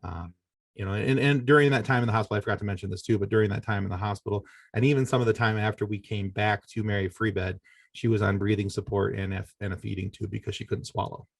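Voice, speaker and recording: Low-voiced middle-aged man, dry close sound. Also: fairly even intonation, gravelly, no room sound. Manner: halting, conversational, self-correcting delivery. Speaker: podcast guest. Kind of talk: personal storytelling in a podcast interview.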